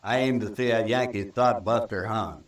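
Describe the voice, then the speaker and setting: A male actor playing a surly, evasive American cowhand with rough rural speech in a 1950s radio western. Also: lowish voice, fairly even intonation, normal speed, close to an everyday voice. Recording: old radio recording, roomy and distant.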